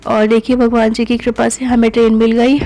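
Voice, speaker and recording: A woman's voice: fairly high pitch, flat delivery, a little fast, warm tone, low-quality recording. A young woman narrating her family vlog in voice-over, chatty and full of fillers. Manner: chatty, many fillers like "na" and "matlab". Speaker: family vlogger.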